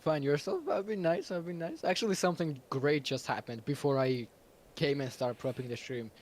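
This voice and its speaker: A man's voice: fairly high pitch, expressive, moderate pace. A man whose first language is Georgian, hosting a stream in casual chat, laughing often and rambling with fillers. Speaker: stream host.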